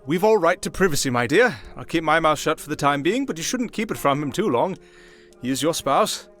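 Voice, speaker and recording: Man playing a kindly old priest, lively higher pitch. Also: soft background music. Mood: genial, ceremonious. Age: older. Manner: unhurried, avuncular, ceremonious phrasing. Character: kindly, wry old high priest, male, fatherly and devout. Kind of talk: ASMR fantasy audio roleplay.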